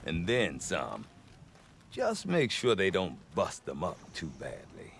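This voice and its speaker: Wily, sentimental male merchant for a fantasy game: lowish pitch, very expressive, wry self-justifier. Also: slow, gravelly, a little hiss or hum, exaggerated voice. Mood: pleading, animated. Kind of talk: video game cutscene acting.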